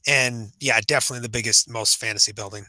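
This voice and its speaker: A man's voice: medium pitch, fairly even intonation, fast talker, bright, forceful tone, no echo. A man co-hosting a podcast as an author, chatting casually with enthusiasm, emphasis and some repetition. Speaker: podcast co-host and fantasy author.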